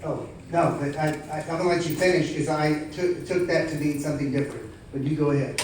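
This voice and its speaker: Higher-voiced man chairing in an echoey room. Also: steady tone, fast talker. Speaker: meeting chairman. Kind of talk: informal spoken moderation of a formal meeting. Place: large indoor meeting room. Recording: very echoey room, distant, faint noise.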